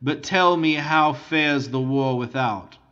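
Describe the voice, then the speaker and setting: Proud young nobleman, impassioned and raised, bright voice, dull lo-fi sound, as a male reader puts him on. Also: medium pitch, slow pace, clean tone. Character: young proud male Italian count, a prisoner.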